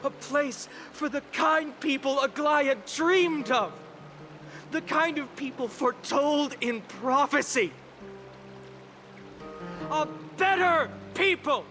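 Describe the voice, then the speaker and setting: A grave, resolute female leader in a fantasy game. She delivers earnest oratory slowly and deliberately, with prominent orchestral music behind her. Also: sits high, lively intonation, fairly bright tone, full character voice. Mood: rousing, resolute. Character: solemn, resolute female leader giving a speech to a grieving city. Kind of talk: dramatic oratory, video game voice acting.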